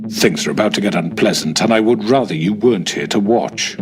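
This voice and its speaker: An actor playing a calm, menacing middle-aged crime boss in a video game, polished and coldly courteous. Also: male voice, lowish voice, a little fast, a little hiss or hum, lightly acted. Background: game music.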